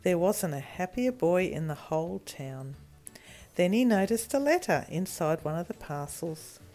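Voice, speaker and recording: A woman reading a children's story in an expressive storytelling manner. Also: lowish voice, soft background music. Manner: animated, deliberate storytelling with acted character voices.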